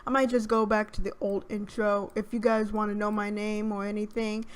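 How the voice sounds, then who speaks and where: A young woman with a soft, shy voice, chatting through a game video in a hesitant, rambling way. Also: mid-range voice, flat delivery, normal speed. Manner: rambling, hesitant with many fillers. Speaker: gaming YouTuber. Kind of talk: casual let's play commentary.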